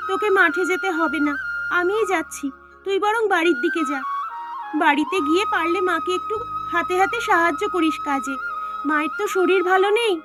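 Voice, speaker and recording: A woman voicing a kind, dutiful young village sister in a cartoon fairy tale. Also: very high voice. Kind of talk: animated storybook dialogue.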